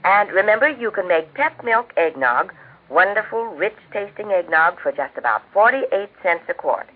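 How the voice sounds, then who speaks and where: An American woman with a genteel, measured, instructive manner, speaking scripted homemaking talk as host of a 1940s radio cooking show. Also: mid-range voice, big pitch swings, husky. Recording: tinny band-limited 1940s radio recording.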